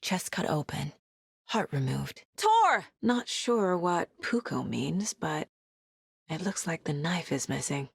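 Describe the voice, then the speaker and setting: A determined female investigator in a video game. Her voice is lowish and clearly husky, recorded very dry and close. She sounds introspective and focused, keeping things understated and natural. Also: big pitch swings, slow pace, no room sound, exaggerated voice. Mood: urgent, alarmed. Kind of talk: video game character voice lines.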